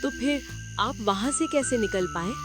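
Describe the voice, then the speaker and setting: A woman voicing a devoted village wife and mother who talks gently and caringly in a cartoon story. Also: on the high side, expressive, unhurried, loud music behind the voice. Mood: gentle. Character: worried devoted young wife and mother, cook.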